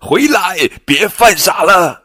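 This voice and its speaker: A man's voice: medium pitch, expressive, moderate pace, fairly bright tone, husky, dry recording, faint music behind, voice pushed up. A man voicing anxious elephants from the herd in a children's storybook. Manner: calling out anxiously.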